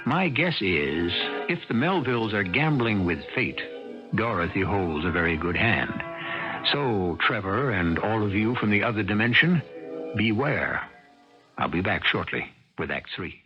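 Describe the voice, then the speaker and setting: A man hosting a radio mystery drama, narrating in an ominous, deliberate, theatrical way. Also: on the low side, sing-song. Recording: dull old radio broadcast with music.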